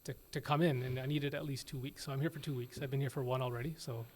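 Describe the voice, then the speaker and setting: A man in his thirties from Toronto, an ICU physician, giving measured explanations with frequent pauses and fillers. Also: mid-range voice, steady tone, a little mellow, a little hiss or hum. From Toronto, Canada. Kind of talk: interview answers.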